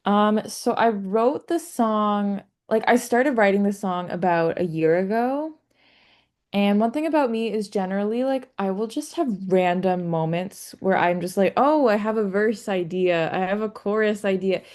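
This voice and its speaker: A woman's voice: medium pitch, moderate pace, a little mellow. Chatty nineteen-year-old woman. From Canada. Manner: chatty, frequent "like" fillers. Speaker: singer-songwriter guest. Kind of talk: casual interview. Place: remote call.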